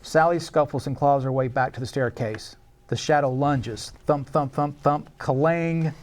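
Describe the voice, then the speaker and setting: A man's voice: on the high side, fairly even intonation, a little slow. A man reading stage directions as narrator at a live screenplay table read, in a scripted narration style.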